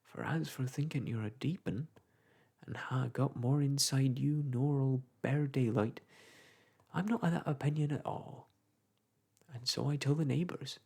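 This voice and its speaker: Man doing a garrulous old village clerk, gravelly, husky. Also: mid-range voice, unhurried, warm tone. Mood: rambling advice. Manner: rambling, folksy, lecturing.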